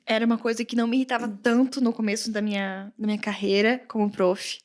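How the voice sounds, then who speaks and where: Mulher brasileira animada, voz um pouco aguda. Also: female voice, a little high, fast talker. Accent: Brazilian Portuguese, countryside. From Brazil. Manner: animated, laughs often, acts out quoted comments. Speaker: guest, English teacher. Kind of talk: casual podcast conversation.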